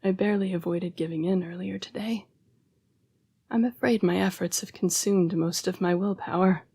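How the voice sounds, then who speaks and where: A woman voicing an apologetic, protective yet predatory female vampire fighting her bloodlust in an intimate audio roleplay, with hushed, formal, halting speech. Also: lowish voice, fairly even intonation, dry recording. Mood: grave, protective. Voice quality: breathy, whispery. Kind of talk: intimate scripted audio roleplay addressed to the listener.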